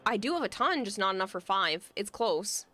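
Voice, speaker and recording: A woman streaming a game and chatting casually, thinking aloud as she plans, her voice going hoarse. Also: mid-range voice, very animated, moderate pace. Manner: thinking aloud, hesitant, soft at times. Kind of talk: casual game livestream chat.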